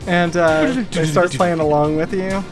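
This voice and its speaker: A woman's voice: lowish voice, steady tone, unhurried. A woman working as game master, improvising narration in a casual, hesitant, conversational way. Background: faint music.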